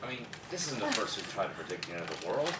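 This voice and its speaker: A man acts out a bitter, sardonic office drone at a screenplay table read. His lowish voice swings expressively with exasperation. He is heard at a distance over clear background noise. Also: very animated, fast talker, reverberant. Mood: scornful. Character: bitter, sarcastic male office worker jaded by daily routine.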